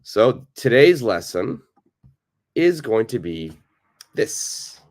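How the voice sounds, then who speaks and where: A man with a cold and a raspy voice, teaching a live online English lesson, greeting viewers and repeating points slowly. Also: mid-range voice, big pitch swings.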